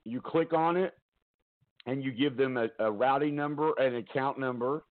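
Loud guy rambling on his home stream, headset mic, laughs a lot, sounds like a phone line. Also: medium pitch, normal speed. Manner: rambling, chatty, laughs often. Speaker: talk show host. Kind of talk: casual live-stream talk.

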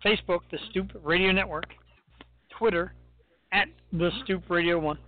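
A man co-hosting a radio talk show, bantering and teasing his co-host in a joking, animated way. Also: sits high, moderate pace. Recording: dry, phone-like narrow sound.

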